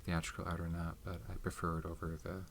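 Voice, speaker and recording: Low, soft-spoken man rambling casually. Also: monotone, slight background noise. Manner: hesitant, rambling, unhurried. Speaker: video host showing movie collection. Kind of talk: soft-spoken ASMR casual movie chat. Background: tapping and handling of movie cases.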